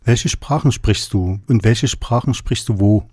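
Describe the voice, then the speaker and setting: A man asks questions for a radio program, calm and plain in manner. His voice is mellow and a little husky, recorded dry and close. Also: fairly low pitch, measured pace, no room sound. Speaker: radio interviewer.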